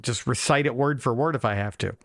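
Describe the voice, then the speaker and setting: A man's voice: mid-range voice, a little fast, no echo. Wry American man, dry close sound. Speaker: podcast co-host. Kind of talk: casual banter.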